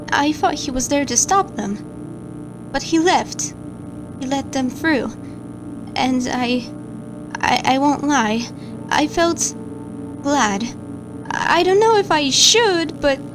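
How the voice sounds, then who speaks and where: A woman's voice: high voice, rough recording. A woman playing an anxious, guilt-ridden watcher of camera feeds, who stammers and hesitates as she narrates a horror log over music.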